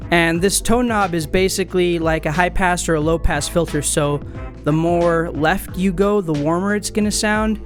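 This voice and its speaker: Man, slightly high voice, casual tutorial host, easygoing step-by-step explaining, faint music behind. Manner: relaxed, explains step by step with fillers. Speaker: music gear channel host.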